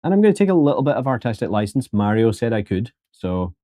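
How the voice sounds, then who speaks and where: A man's voice: medium pitch, big pitch swings, fast, warm tone, no echo, studio quality. A man teaching 3D sculpting on a live stream in a casual, joking way, talking to his viewers as folks.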